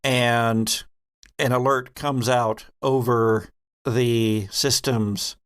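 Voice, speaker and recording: A man narrating a tabletop horror game as game master, telling it deliberately with pauses. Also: medium pitch, steady tone, clear, resonant tone.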